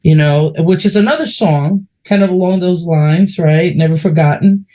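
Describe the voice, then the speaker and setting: A man's voice: on the high side, moderate pace, mellow. A man from New York with a New York accent, interviewing a guest in a chatty, folksy, heartfelt way. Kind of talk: casual interview chat.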